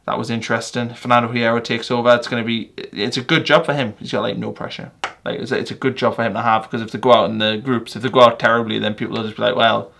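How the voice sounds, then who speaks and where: A man's voice: medium pitch, level tone, fast talker, vocal fry, hardly any pauses, fairly bright tone. A young man with a North East English accent, giving a casual, rambling football match reaction with fillers and self-corrections. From England. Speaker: football fan vlogger.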